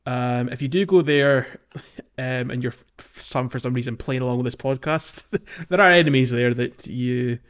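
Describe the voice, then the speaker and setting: A man co-hosting a podcast, chatting casually about a video game and filling pauses with ums. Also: medium pitch, smooth, muffled recording. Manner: relaxed, many ums, walks through game areas. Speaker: podcast co-host.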